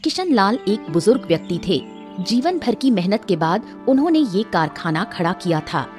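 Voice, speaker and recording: A woman's voice: mid-range voice, dark tone. A woman narrating a scripted moral cartoon story.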